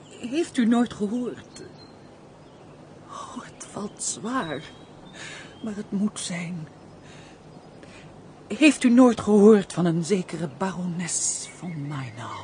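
A woman's voice: medium pitch, lively intonation, drawn-out words, pauses often, dark tone. A woman acting a sorrowful, penitent baroness in a Dutch radio play, in an anguished, sighing, theatrical manner. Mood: breathy anguish. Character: sorrowful, penitent, guilt-ridden fallen baroness. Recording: noisy old radio play recording.

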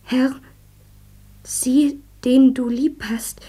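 An actress playing a woman solemnly reciting a Bible story in a radio drama, hushed, halting and half-whispered. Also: high voice, flat delivery, slightly rough, full character voice.

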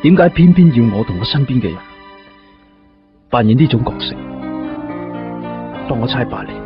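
An actor playing a troubled, conflicted police detective in a grave, reflective radio drama monologue with a Hong Kong Cantonese accent. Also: male voice, medium pitch, expressive, moderate pace, lightly acted. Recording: slightly muffled, music underneath. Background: clear background music.

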